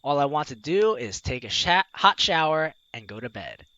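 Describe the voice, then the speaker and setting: A man's voice: on the high side, big pitch swings, normal speed, bright, forceful tone, dry recording, muffled recording. A man hosting a game playthrough, reading the text aloud and breaking off into playful, teasing, exclamatory commentary for his audience. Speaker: let's-play host.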